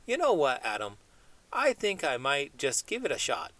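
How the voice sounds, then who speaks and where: A man's voice: mid-range voice, huge pitch swings, normal speed, pushed and bright, dry recording, voice pushed up, exaggerated voice. A man voicing a folksy, slangy chimpanzee from Minnesota, a chatty big dreamer bantering in a children's read-aloud. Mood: curious, playful. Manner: folksy, drawling slangy grammar with "them" and "ain't".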